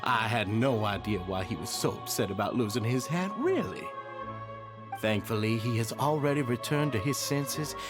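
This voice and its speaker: An actor with a Southern US accent playing an obsequious, manipulative society leader in a video game, elaborately polite and theatrical. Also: male voice, mid-range voice, lively intonation, moderate pace, close to an everyday voice. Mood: falsely polite. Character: slick, obsequious, manipulative society leader feigning humble courtesy. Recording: game audio under loud background music.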